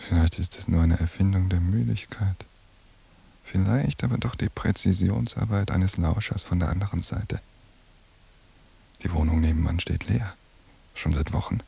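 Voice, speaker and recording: An actor playing a paranoid, obsessive surveillance man in a radio drama monologue, brooding in a gravelly, hushed half-whisper. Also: low voice, moderate pace, long pauses, heavily acted.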